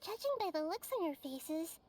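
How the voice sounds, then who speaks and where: A woman voicing a gentle, wise young girl goddess in a video game, calm and soft-spoken. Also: very high voice, normal speed.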